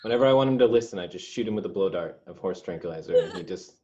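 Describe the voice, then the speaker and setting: A man co-hosting a casual live-stream chat, laughing often and bantering quickly. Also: deep voice, expressive, a little mellow, muffled recording. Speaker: co-host.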